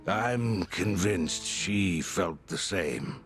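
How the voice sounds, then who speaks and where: A man playing a serene, fatherly religious leader in a video game cutscene, speaking gently and formally. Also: deep voice, very slow, music in the background, exaggerated voice. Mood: calm, kindly. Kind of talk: dramatic game cutscene acting.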